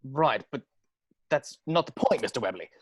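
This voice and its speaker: A man's voice: mid-range voice, animated, moderate pace, husky, no echo, studio quality. A man voicing a stiff, formal police officer with a clipped, earnest delivery at a video-call table read. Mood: stern.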